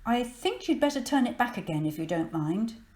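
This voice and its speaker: A woman's voice: fairly high pitch, big pitch swings, moderate pace, a little mellow, pitched above the performer's own voice. A woman voicing a polite, concerned elderly grandfather in a children's storybook, courteous and emphatic. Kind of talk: children's storybook character reading.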